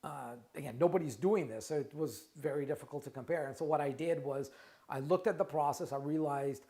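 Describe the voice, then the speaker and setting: A middle-aged man with a Russian accent talks through a live question-and-answer stream, his voice sitting a little high for a man. He speaks conversationally, often restarting and repeating words and checking in with "right?". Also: fairly quick, creaky, dark tone. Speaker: trademark lawyer and company founder, show host. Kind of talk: live Q&A stream, casual talk.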